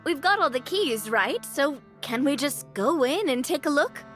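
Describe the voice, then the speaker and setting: Young adventurer heroine: high, animated, earnest anime game delivery, music bed. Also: female voice, normal speed. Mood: puzzled, hushed. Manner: expressive, slipping in and out of theatrical role-play. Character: earnest excitable young woman adventurer playing tabletop game. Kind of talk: anime video game voice acting. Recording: music behind the voice.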